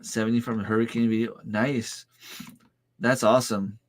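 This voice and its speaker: A man's voice: medium pitch, steady tone, normal speed. A man in his thirties from New Brunswick, a native French speaker with a French Canadian accent, chatting casually with viewers on his livestream in an easygoing, hesitant way. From Northern New Brunswick, Canada. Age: 30s. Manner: easygoing, hesitant, many fillers and restarts. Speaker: farm channel host. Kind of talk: casual livestream chat, answering viewer comments.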